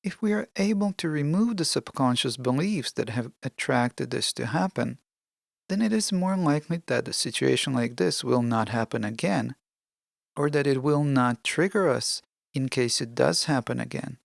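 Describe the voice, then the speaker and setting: A podcast host giving scripted self-help narration in a measured, deliberate, instructional way. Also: medium pitch, lively intonation, creaky, dry recording, clean studio sound.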